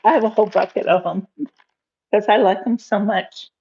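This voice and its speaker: A woman's voice: medium pitch, fairly even intonation, normal speed, darkish tone. A woman in her fifties hosting a casual live cooking stream from her home kitchen, rambling with many fillers and little laughs, recorded on a phone on the counter. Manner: rambling, giggly, lots of fillers. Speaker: livestream cooking host. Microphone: phone camera on counter. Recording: dull lo-fi phone audio.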